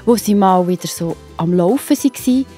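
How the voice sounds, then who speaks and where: A woman narrates a children's story in a mellow, mid-pitched voice with a Swiss German accent. She addresses the young listener directly and encouragingly, with faint music underneath. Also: animated, normal speed.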